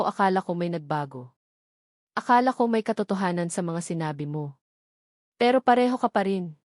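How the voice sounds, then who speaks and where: A woman's voice: medium pitch, a little mellow, no room sound, studio quality, close to an everyday voice. A woman playing a betrayed, furious wife in an audio drama, accusing and anguished in an emphatic way. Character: betrayed, furious wife lashing out at her ex-husband. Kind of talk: Tagalog audio drama acting.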